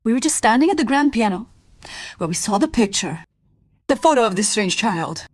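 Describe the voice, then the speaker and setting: An actress in a radio play as a distressed married woman confronting a man, husky and breathy, with halting, dramatic pauses. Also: fairly high pitch, sing-song, no room sound. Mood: insistent, hushed.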